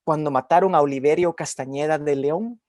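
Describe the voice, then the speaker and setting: A man's voice: fairly high pitch, fairly quick, a little mellow, dry recording, clean studio sound. An older man interviewing conversationally, giving long emphatic commentary and repeating phrases. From Guatemala. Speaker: interviewer, academic.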